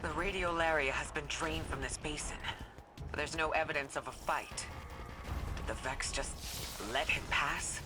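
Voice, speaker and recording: A serious female commander briefing troops in a video game. She is composed, deliberate and concerned, with clear music behind her. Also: low voice, steady tone. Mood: analytical, watchful.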